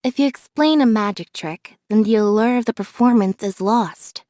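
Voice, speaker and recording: A woman voicing a reserved, observant young magician's assistant in a video game, speaking in a calm, measured deadpan. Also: on the high side, dry recording, studio quality, natural voice. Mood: calm, detached. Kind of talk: scripted character voice lines.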